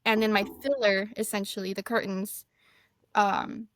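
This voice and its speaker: A woman's voice: medium pitch, fairly even intonation, unhurried, no echo. Chatty woman from Mexico, dry sound. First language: Spanish. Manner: chatty storyteller, quotes people often. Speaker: guest author. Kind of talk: casual podcast chat.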